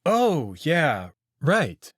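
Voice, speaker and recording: A man's voice: a little high, huge pitch swings, very slow, a bit bright, no echo, clean studio sound, heavily acted. A man playing a wary young human protagonist of a visual novel, informal and hesitant. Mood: puzzled. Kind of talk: visual novel character reading.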